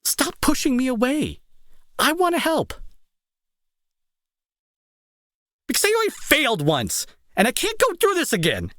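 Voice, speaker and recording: A young man playing a gruff school bully who is fearful and protective underneath, in an intense, halting, pleading audio roleplay style. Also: very high voice, wildly swooping pitch, bright, forceful tone, no room sound, studio quality, heavily acted. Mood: agitated, pleading. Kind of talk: dramatic audio roleplay acting.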